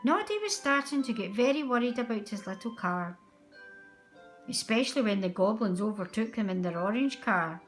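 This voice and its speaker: A woman narrating a children's storybook aloud in an expressive, deliberate way. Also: fairly high pitch, faint music behind.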